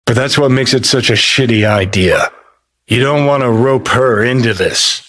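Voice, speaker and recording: A man's voice: medium pitch, moderate pace, fairly bright tone, natural voice. A man playing a cynical, brash rebel rocker in a video game, sardonic, blunt and foul-mouthed. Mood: serious, blunt. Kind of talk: video game character acting.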